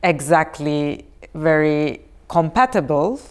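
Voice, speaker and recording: Low, smooth-voiced woman, thoughtful. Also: lively intonation, takes it slowly. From Turkey. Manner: thoughtful, with many fillers. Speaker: artist and researcher guest. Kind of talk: casual conversation.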